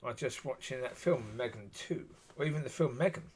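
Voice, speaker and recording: A man with a husky voice, talking alone in a rambling, musing way and often saying "yeah". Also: medium pitch, a little fast, darkish tone, slight background noise. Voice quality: husky, gravelly. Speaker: solo video talker. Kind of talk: rambling solo monologue.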